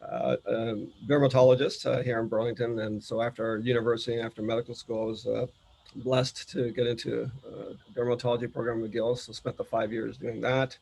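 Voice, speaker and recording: A man talking casually as an interview guest, in a halting, self-deprecating way. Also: mid-range voice, level tone, vocal fry, a little mellow, slightly rough.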